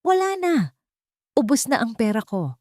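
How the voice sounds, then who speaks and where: Woman acting a shrill, bitter, scornful woman. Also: big pitch swings, normal speed, darkish tone, no room sound, clean studio sound. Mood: desperate, disgusted. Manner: accusing, dramatic. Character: bitter, scornful young woman, resentful lover.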